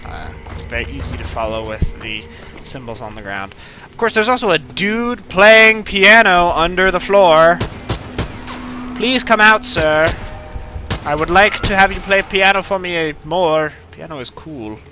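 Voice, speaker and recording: A man with a slightly high voice chats through a video game as the host of a let's play. He is casual and jokey, with game music and gunfire behind him. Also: expressive, unhurried. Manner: jokey, playful.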